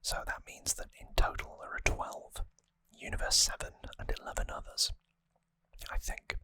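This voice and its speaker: An ASMR host whispering breathily and close to the microphone, explaining things softly and informally with some hesitation. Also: moderate pace, dry recording. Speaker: host of a trivia ASMR show. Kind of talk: ASMR whispered episode recap.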